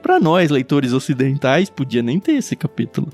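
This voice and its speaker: A Brazilian man who preaches, co-hosting a relaxed Bible reading podcast with candid, easygoing talk. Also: medium pitch, moderate pace, faint music behind. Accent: Brazilian Portuguese. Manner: candid, easygoing, light teasing. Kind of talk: casual Bible commentary chat.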